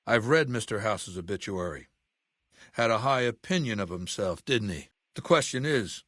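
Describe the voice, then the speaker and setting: A male actor playing an imperious middle-aged dictator of a slaver legion in a video game, speaking in a commanding, condescending, deliberate way with menace. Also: mid-range voice, a bit bright, no echo, studio quality, natural voice. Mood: wry, commanding. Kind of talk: video game character dialogue.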